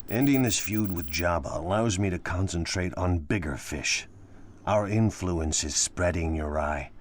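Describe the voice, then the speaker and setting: A man's voice: low voice, unhurried. A man playing a ruthless, ambitious crime boss in a video game, speaking in a smug, imperious, commanding way. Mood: calculating. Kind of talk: cinematic video game acting.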